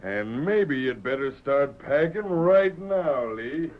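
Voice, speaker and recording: Western heavy: mocking, bullying ranch boss, drawling folksy threats, muffled old radio sound. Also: male voice, mid-range voice, animated, takes it slowly. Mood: gloating. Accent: American Western drawl. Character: sneering bullying cattle boss villain, menacing and mocking.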